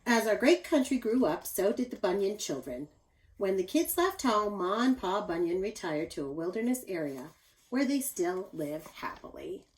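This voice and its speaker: A woman reads a picture book aloud to children in a mid-pitched voice. Her delivery is expressive and teacherly, and she speaks directly to her young listeners. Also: normal speed, rough recording. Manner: animated, addresses young listeners with asides.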